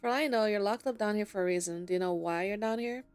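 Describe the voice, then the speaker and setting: A woman's voice: mid-range voice, fast talker, darkish tone, smooth. A woman gamer giving casual let's play commentary and reading dialogue choices aloud. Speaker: gamer playing through a visual novel. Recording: faint game music behind the voice.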